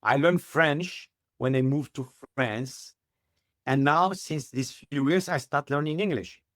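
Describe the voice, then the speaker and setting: Man, middle-aged, halting upbeat English, dry close sound. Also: fairly high pitch, no room sound. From Tunisia. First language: Arabic. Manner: halting non-native English, earnest and upbeat. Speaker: guest. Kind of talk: interview answers.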